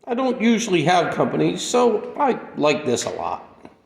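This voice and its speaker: An actor playing a gentle, humble, lonely drifter in a radio play, speaking with polite, mild sincerity. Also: male voice, sits high, huge pitch swings, moderate pace, creaky, full character voice. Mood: content, friendly. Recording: echoey, reverberant room.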